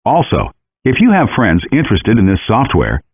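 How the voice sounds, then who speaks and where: A man voicing a friendly, encouraging virtual chef in a cooking game, giving clearly worded scripted instructions through dull, compressed game audio. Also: lowish voice, wildly swooping pitch, normal speed, no room sound, exaggerated voice. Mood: patient, instructive.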